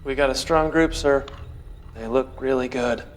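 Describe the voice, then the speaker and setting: A man acting as a dutiful male subordinate in a film scene. His pitch is average, and faint ambient noise sits behind him. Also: measured pace, close to an everyday voice. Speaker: film actor. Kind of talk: film dialogue.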